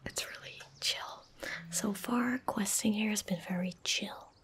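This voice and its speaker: Female, twenties, for ASMR gameplay narration: hushed breathy whisper, very even pitch, calm and close-miked. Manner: calm, gentle, unhurried. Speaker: streamer narrating her gameplay. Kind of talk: soft-spoken ASMR game commentary. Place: indoor room at a gaming desk. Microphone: close desk microphone.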